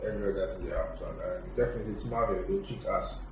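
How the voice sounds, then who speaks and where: A man's voice: low voice, fast. A man on a casual review panel, talking in an easygoing, informal way. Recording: echoey room with faint noise.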